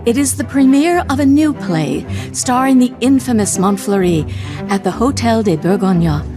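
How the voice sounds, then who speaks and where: A woman's voice: on the high side, expressive, normal speed, lightly acted. A woman playing the storytelling narrator of a period comedy radio play, reading scripted narration. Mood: scene-setting. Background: music.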